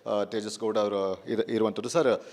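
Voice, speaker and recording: A man's voice: fairly low pitch, flat delivery, moderate pace, a little mellow. Male TV reporter, hesitant, echoey sound. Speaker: television news reporter. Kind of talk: field report and interview.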